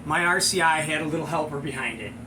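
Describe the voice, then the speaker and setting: A middle-aged American man hosting and telling a casual, slangy anecdote, excitable and acting out the sound effects. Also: on the high side, fast talker, background noise. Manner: excitable, slangy, chuckling storyteller. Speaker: show host and storyteller.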